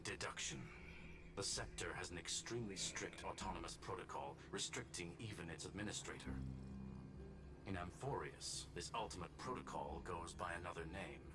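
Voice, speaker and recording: A man voicing a formal, analytical, machine-like intellect in a video game, in a clipped and measured manner. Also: low-pitched, natural voice. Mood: formal, grave. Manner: clipped, formal, announces each statement type. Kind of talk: scripted video game voice acting. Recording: music playing under the game dialogue.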